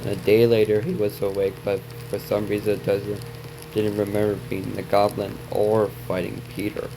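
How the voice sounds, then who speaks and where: A man's voice: fairly low pitch, flat delivery, normal speed, gravelly, background noise. A man reading a story aloud as a reaction video host, stumbling over and repeating words, with asides to viewers.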